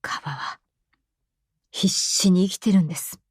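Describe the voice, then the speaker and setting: Woman voicing an earnest, halting, slow-spoken man. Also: sits high, slightly rough, no room sound, studio quality, full character voice. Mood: earnest, passionate. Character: earnest awkward man passionate about animals, hesitant in love.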